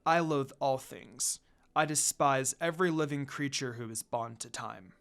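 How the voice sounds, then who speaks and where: A man's voice: mid-range voice, a little slow, creaky, fairly bright tone, pitched below the performer's own voice. Man voicing a solemn undead priestess, cold and hateful. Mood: bitter loathing.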